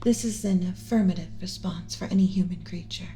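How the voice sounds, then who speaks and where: A woman voicing a gentle, motherly alien scientist in an ASMR roleplay, speaking in a soothing, measured, instructional, often whispery way. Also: fairly low pitch, a little hiss or hum, close to an everyday voice. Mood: calm, instructive. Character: gentle motherly alien scientist teaching a class about pet human.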